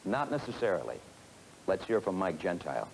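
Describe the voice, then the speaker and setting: A man giving scripted narration for a sales training film, in a measured, instructive manner, on a 1970s film soundtrack. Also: low voice, very animated, vocal fry, a little hiss or hum, low-quality recording.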